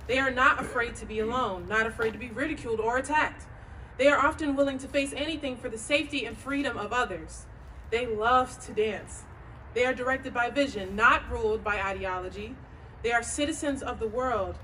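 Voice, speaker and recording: A woman reading a scripted statement as presenter, in declarative, measured phrases. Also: fairly high pitch, bright, forceful tone. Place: live event at a bar and grill. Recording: noisy, distant live recording.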